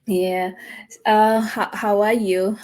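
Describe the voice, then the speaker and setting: A young woman from Nepal hosting a live online business talk, welcoming her guest with many pauses and fillers. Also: mid-range voice, little pitch movement, a little mellow. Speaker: host.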